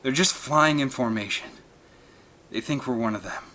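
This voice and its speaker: A man voicing a battle-weary, earnest male scientist with deep feeling in a storybook. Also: moderate pace, pushed and bright, lightly acted. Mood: urgent.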